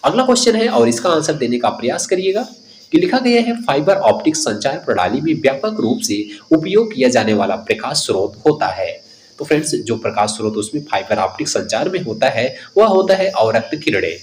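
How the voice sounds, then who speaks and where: A man's voice: medium pitch, very animated, brisk, slight background noise. A man giving an instructive exam coaching lesson, reading out questions and explaining the answers directly to students.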